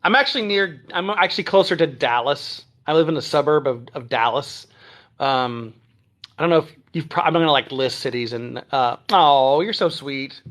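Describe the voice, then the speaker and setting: A rambling, friendly-sounding livestream host, a man with a somewhat raised pitch. He is from Texas but keeps to a trained standard American accent, chatting loosely on a phone stream at home. He fills pauses with ums and clears his throat often. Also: fairly bright tone. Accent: none, trained standard American. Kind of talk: casual livestream chat answering viewer comments. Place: at home near Dallas.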